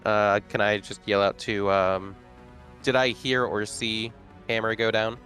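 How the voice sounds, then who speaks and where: Male player, low-ish voice, hesitant casual chatter, light music behind. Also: flat delivery, a little slow, a bit bright. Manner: casual, hesitant, many fillers. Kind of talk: casual chat at a game table.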